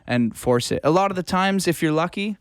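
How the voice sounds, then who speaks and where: A man's voice: high voice, expressive, a little fast, clear, resonant tone, no room sound, studio quality. A man, giving informal, rambling answers as an interview guest who works in music production. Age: 20s. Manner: informal, rambling, mild swearing. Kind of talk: casual conversational interview answers.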